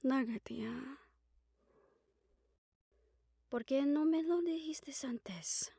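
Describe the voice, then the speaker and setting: A playful, caring siren-banshee, acted by a woman for an intimate audio roleplay. Her voice sits a little high and she takes her time, teasing her partner with open affection. The recording is close and dry, with hardly any room sound. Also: a little slow, gravelly, warm tone, no echo. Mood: concerned, tender.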